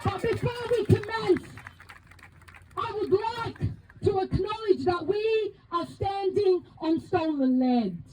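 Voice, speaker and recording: A woman from Palestine, a native Arabic speaker, giving a loud, emphatic, impassioned speech as co-chair at an outdoor protest rally. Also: very high voice, slow pace, rough recording. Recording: outdoor rally, crowd and faint noise.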